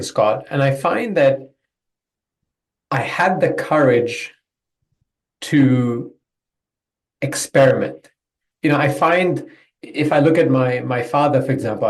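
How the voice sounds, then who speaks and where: A man's voice: medium pitch, darkish tone. Belgian-raised man, podcast guest in an echoey room. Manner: reflective, loose storytelling with fillers. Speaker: guest, business coach. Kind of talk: podcast interview.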